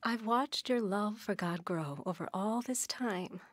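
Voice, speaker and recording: A woman's voice: mid-range voice, measured pace, dry recording, natural voice. A woman playing an encouraging, affectionate pastor's wife in a radio drama.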